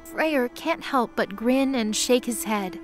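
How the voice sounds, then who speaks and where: Casting: female fantasy narrator, higher-pitched, measured storytelling read over faint music. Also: animated.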